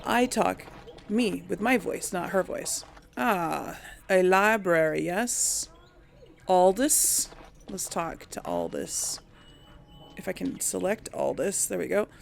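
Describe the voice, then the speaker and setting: A woman's voice: on the low side, sing-song, takes it slowly, creaky, slight background noise. A woman giving casual let's play commentary, hesitantly thinking aloud as she goes. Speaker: gaming video host and player.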